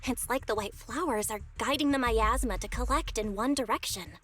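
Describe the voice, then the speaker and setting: A woman's voice: fairly high pitch, moderate pace, soft background music, lightly acted. A woman voicing an earnest, inquisitive young investigator in a video game, conversational and thoughtful.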